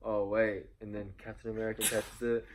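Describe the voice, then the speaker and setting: A man co-hosting a movie review, chatting casually and excitedly as a fan and acting out bits of dialogue. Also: fairly low pitch, a little fast, noisy background. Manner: informal, acts out quoted lines. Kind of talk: casual excited fan chat about a film.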